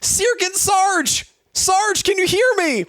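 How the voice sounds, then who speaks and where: A man voicing a frantic young soldier, shrieking in panic as he yells for help in an amateur story reading. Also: extremely high pitch, slow pace, no echo, pitched above the performer's own voice, exaggerated voice. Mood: frantic, yelling.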